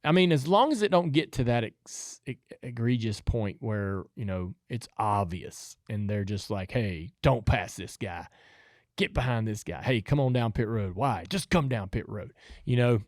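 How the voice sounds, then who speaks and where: A man's voice: lowish voice, expressive, moderate pace, dry recording, studio quality. A man with a Southern US accent chatting casually about racing as a podcast host, in a rambling, folksy, easygoing way.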